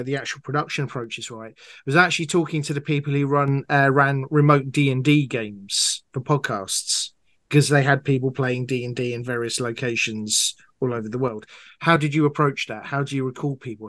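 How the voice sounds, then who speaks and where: A man's voice: mid-range voice, a bit bright, no echo. A man answering interview questions as a guest audio drama producer, talking conversationally and with enthusiasm.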